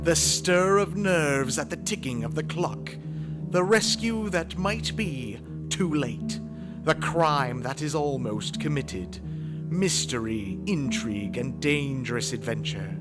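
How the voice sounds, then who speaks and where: A man delivers dramatic suspense narration as a radio announcer, with loud music behind him. His pace is very slow and deliberate, and his voice is a little high for a man. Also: lively intonation.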